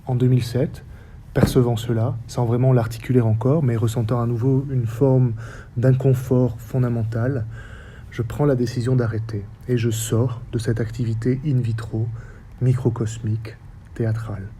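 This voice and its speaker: Low, dark-toned man with a faint Basque accent. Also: level tone, a little hiss or hum. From French Basque Country. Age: 40s. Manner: measured, list-like delivery with short paused phrases. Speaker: stage director and researcher. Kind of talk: reflective public talk. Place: theatre stage or hall.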